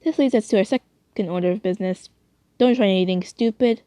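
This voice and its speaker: A woman's voice: mid-range voice, a little fast, dark tone, clear, resonant tone, no echo, clean studio sound, natural voice. A woman playing a bossy but concerned male leader in a fan story, speaking in a lecturing way. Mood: cautioning.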